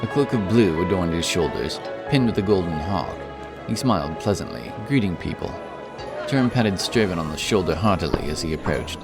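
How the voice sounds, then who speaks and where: Deep-voiced male fantasy narrator over music. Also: animated, moderate pace. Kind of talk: scripted fantasy story narration.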